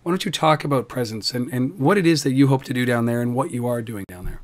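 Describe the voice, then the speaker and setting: A man hosting a tech podcast, talking in a lively, energetic way. He often repeats the first words of a sentence before he settles into it. His voice sits at an ordinary middle pitch. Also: fast. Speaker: podcast host. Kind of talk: casual podcast chat.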